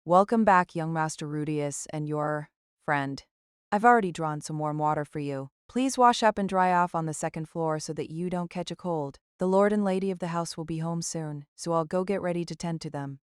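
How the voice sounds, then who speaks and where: A smooth, clear and slightly low voice, a woman acting as a dutiful housemaid. She is formal, measured and reserved, in a dry audio drama recording. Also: no echo, lightly acted. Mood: composed, polite.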